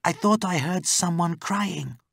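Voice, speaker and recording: A man's voice: high voice, unhurried, vocal fry, a bit bright, breathy edge, dry recording, clean studio sound, pitched above the performer's own voice, exaggerated voice. A man narrating a storybook, voicing a watchful, reassuring policewoman who poses as a servant. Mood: concerned.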